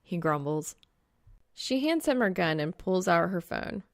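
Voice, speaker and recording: A woman's voice: on the low side, big pitch swings, a little slow. A woman reading scripted fan fiction aloud as an audiobook narrator.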